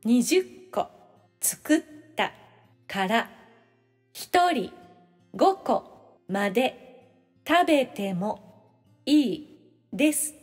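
Very high-voiced woman reading lesson sentences. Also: very animated, very slow, long pauses, darkish tone, big-room sound, soft background music. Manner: clearly phrased, instructional. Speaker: language-lesson reader. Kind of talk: scripted audio-drama sentences for learners.

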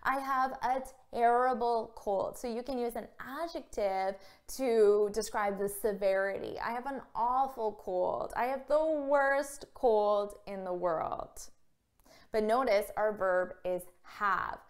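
A woman in her thirties giving a scripted English vocabulary lesson to camera, speaking clearly and carefully and acting out example phrases. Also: fairly high pitch, slow pace. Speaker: English teacher. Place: small indoor room against a plain wall. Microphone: camera framed at chest height.

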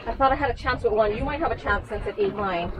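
An excitable woman exclaiming and chatting casually while fishing on an open boat at sea. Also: on the high side, fast. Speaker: fishing vlogger. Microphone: action camera mounted on boat, at a distance. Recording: noisy boat engine, wind and water.

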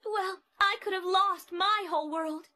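A woman's voice: very high-pitched, fairly even intonation, measured pace, pushed and bright, no room sound, heavily acted. A woman voicing a stern cartoon mother with a reproachful, indignant manner. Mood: scolding. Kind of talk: cartoon character voice lines.

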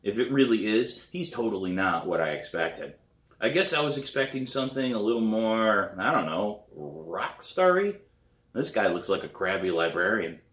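A middle-aged man reading his novel aloud as a storybook narrator, with an expressive, dramatic delivery. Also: lowish voice, moderate pace. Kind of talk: storybook read-aloud, first-person narration. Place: small living room, indoors. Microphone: camera a few feet away. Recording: roomy, echoey living room.

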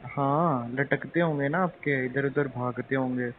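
A man's voice: medium pitch, a little slow, close to an everyday voice. A man playing a teasing, penny-pinching young husband-to-be chatting casually and hesitantly on a phone call. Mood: playful, teasing. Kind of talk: acted intimate phone-call drama in casual chat. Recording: tinny phone line, faint noise.

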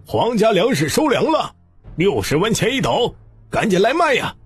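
A man's voice: high voice, big pitch swings, moderate pace, breathy edge, faint music behind, pitched above the performer's own voice, exaggerated voice. A man voicing a burly male palace guard who bellows proclamations in an audiobook. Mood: urgent bellowing. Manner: shouting proclamations at full throat.

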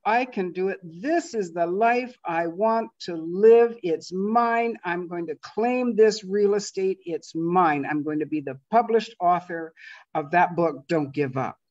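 A woman in her fifties or older with a deep, low voice, telling a personal story on a video call. She talks expressively, with loud emphasis and soft asides. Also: a little slow. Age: 50s or older. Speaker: co-presenter of an online master class. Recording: dull, lo-fi video call audio.

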